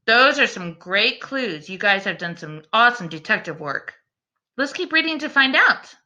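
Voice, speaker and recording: A woman teacher reads aloud to children. Her voice is fairly high and bright, with very animated intonation. She sounds encouraging and speaks directly to young listeners. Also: moderate pace. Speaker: teacher reading a picture book aloud. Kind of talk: expressive children's read-aloud with questions.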